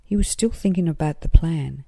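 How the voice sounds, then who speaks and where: A woman's voice: deep voice, normal speed, creaky, dark tone, no room sound. A woman narrating a children's story in a scripted read-aloud style.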